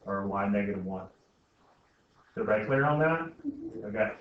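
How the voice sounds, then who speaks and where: A man's voice: on the low side, expressive, normal speed. A man teaching a class informally, often asking questions and checking that students understand. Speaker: algebra teacher. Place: indoor school classroom. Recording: very echoey noisy classroom, distant muffled mic.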